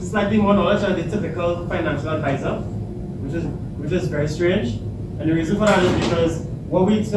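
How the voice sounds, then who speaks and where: A Trinidadian man with a big, loud voice, speaking to students at a workshop without a microphone and asking the audience questions. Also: high voice. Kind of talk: motivational talk to students. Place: large echoey hall, no microphone. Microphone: far camera. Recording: echoey hall, distant camera, audible noise.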